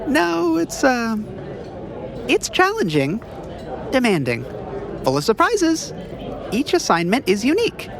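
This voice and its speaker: A woman's voice: high-pitched, huge pitch swings, unhurried, gravelly, long pauses, a crowd in the background, exaggerated voice. A woman playing a guarded, teasing government aide in a noir comedy audio drama, by turns hesitant and evasive.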